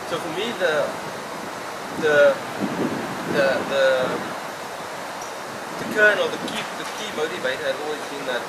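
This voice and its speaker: A middle-aged man from South Africa, a native Afrikaans speaker, talking reflectively in an interview with many halting restarts. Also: a little high, expressive, very noisy.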